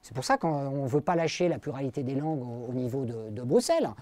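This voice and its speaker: Man, middle-aged, philosophy lecturer, hesitant and explanatory, warm mellow tone, dry sound. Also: mid-range voice, expressive, dry recording. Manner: hesitant, full of pauses and fillers; explanatory, addresses listeners directly. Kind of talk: academic lecture to an audience.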